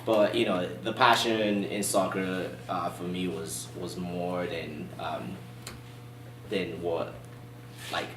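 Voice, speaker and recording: A low-pitched man with a blended Nigerian and British accent tells his story unhurriedly, filling pauses with ums and soft laughs. He sits some way from the mic, and the gym gives his voice a roomy echo over a faint hum. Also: little pitch movement. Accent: mixed Nigerian and British. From born in Boston, raised in Nigeria. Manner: easygoing storyteller, many fillers and soft laughs. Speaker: gym owner, guest. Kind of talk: casual interview. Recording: roomy distant sound in a gym.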